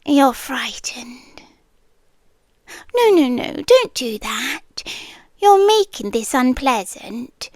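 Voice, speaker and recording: A woman's voice: high voice, big pitch swings, darkish tone, no echo, studio quality, full character voice. A British woman voicing an ancient, possessive siren captor, sweetly menacing, in an intimate roleplay ASMR monologue that often drops toward a whisper. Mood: wounded, scolding. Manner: slow, coaxing, often dropping into whispers.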